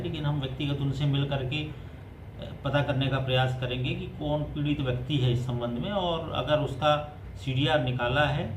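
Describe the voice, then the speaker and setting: Formal male official in echoey, noisy room. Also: medium pitch. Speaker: police officer. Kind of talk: official answer to reporters. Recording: echoey room with background noise.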